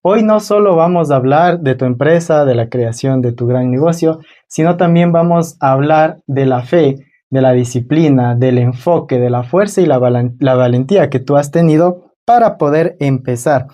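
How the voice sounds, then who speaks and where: A man's voice: a little high, normal speed, few pauses, dark tone. A man hosting a conversational interview on his own channel, welcoming a guest and asking questions.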